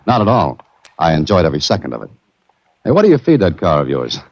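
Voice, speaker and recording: An actor playing a breezy, curious adventurer in a 1940s radio drama, with wry, polished delivery. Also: male voice, low-pitched, wildly swooping pitch, fast, darkish tone, exaggerated voice. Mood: wry, bantering. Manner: wry, bantering, polished storytelling. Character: breezy, curious adventurer hero narrating his own case. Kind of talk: 1940s radio drama acting with first-person narration. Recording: dull, noisy vintage radio recording.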